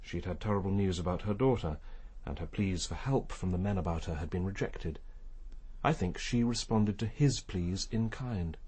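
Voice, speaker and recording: Radio crime drama: quiet, perceptive detective, lowish breathy voice, courteous and probing. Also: male voice, normal speed. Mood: grave, restrained. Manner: restrained, probing, formal.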